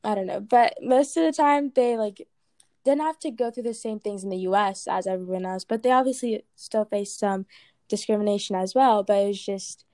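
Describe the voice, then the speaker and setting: A woman's voice: mid-range voice, moderate pace, dark tone, no room sound, clean studio sound. A woman from Ghana with an American accent, answering interview questions casually with many "like" and "um" fillers.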